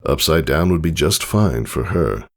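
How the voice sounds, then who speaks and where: Deep, creaky-voiced male story narrator. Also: lively intonation, measured pace. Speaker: audiobook narrator. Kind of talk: scripted narration of fan fiction, dramatic storytelling.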